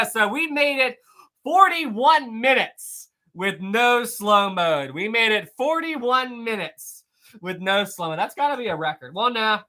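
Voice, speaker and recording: A man hosting a casual live stream, answering viewer messages in an animated, rambling way with frequent fillers, restarts and laughs. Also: very high voice, takes it slowly. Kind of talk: casual live-stream chat reading super chats.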